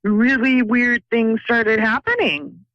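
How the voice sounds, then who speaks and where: A woman's voice: on the high side, takes it slowly, no echo. A woman calling in to a psychic show, speaking earnestly and hesitantly, often repeating words. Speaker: caller. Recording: dull dry phone-in line.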